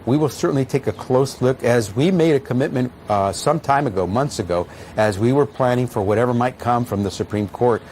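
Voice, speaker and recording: Guarded man with medium-pitched voice. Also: fairly quick, few pauses, darkish tone. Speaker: government official. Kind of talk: answering press questions. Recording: faint background noise.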